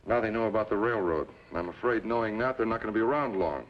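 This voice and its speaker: An actor playing a stoic, weary frontier marshal who looks out for himself, measured and matter-of-fact, in an old-time radio western. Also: male voice, fairly low pitch, natural voice. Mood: resigned. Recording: dull, noisy old radio recording.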